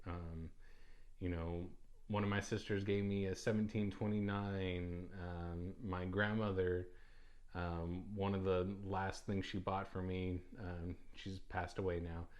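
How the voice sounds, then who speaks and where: A young man with a low voice talks loosely on his own podcast. He rambles and restarts often, filling pauses with ums and uhs. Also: flat delivery, darkish tone. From United States. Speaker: podcast host. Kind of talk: casual solo podcast talk.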